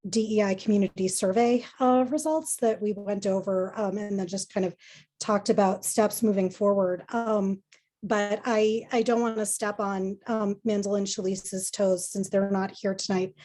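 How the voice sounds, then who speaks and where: Woman, mid-pitched, casual committee update, rambling and chatty. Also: little pitch movement, normal speed, warm tone. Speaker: committee member.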